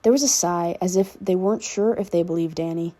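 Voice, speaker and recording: A woman's voice: lowish voice, fairly quick, dark tone. A woman reading fan fiction aloud as an amateur narrator. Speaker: podfic reader and narrator.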